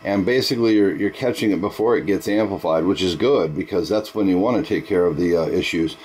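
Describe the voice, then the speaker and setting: A man's voice: low-pitched, a little fast, darkish tone, breathy edge, rough recording. A man talking casually as an amateur radio operator, with an enthusiastic, folksy manner. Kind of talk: casual ham radio chat.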